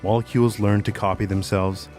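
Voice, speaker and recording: A quiet, philosophical young man in an audio drama, acted by a man. His voice is low, measured and earnest with a melancholy streak, over background music. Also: flat delivery, close to an everyday voice. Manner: measured, reflective phrasing.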